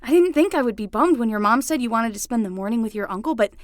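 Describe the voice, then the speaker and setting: A young summer nanny, acted by a woman, chatty and excitable. Her voice sits fairly high and she rambles casually and expressively, in a dry fiction podcast recording. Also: fast talker, dry recording, lightly acted. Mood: excited. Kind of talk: scripted fiction podcast acting.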